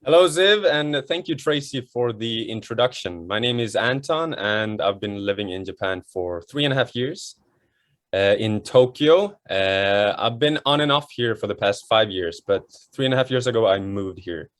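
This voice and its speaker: Young man, Swedish accent, low-pitched voice, dry close sound, relaxed chatty guest. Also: normal speed, no room sound. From Sweden. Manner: relaxed, chatty, many fillers and restarts. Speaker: guest, model and home renovator. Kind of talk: casual interview chat.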